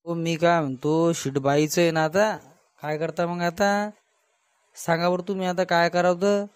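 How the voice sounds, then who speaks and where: A man's voice: on the high side, level tone, moderate pace, clear, resonant tone, no room sound, natural voice. A man voicing a worried, hesitant cartoon village headman with a folksy Varhadi Marathi accent. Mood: worried, unsure. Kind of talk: comic cartoon dialogue.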